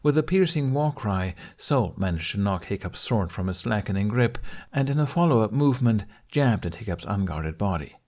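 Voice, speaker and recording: A man's voice: lowish voice, expressive, moderate pace, hardly any pauses, a little mellow. A man reading a scripted story aloud as an audiobook narrator. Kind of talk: scripted narration of fan fiction.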